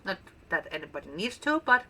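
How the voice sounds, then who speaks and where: A woman's voice: mid-range voice, sing-song, quick pace, pushed and bright, slight background noise. A woman with a Danish accent, a native Danish speaker, chatting casually and playfully as she shows off her build in gameplay commentary. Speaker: gaming channel host.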